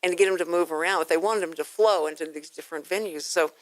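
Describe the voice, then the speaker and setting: An American woman hosts a radio interview in a fairly low voice. She rambles in an informal, storytelling way, voicing bits of quoted speech as she goes. The sound is dry, with little room in it. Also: fast, dry recording, poor audio. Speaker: radio show host.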